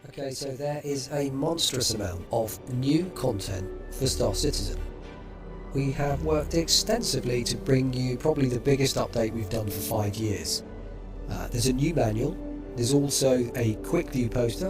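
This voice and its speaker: Man, host, brisk promotional talk, mid pitch, music behind. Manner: brisk, promotional, issuing commands. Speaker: host and product developer. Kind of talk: product demo with voice commands.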